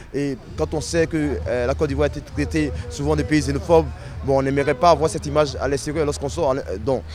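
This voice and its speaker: A man from the Ivory Coast, explaining in a documentary interview and often pausing on fillers. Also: fairly high pitch, darkish tone, slight background noise. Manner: explanatory, with frequent hesitation fillers. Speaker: member of the Ivorian community in Dakar.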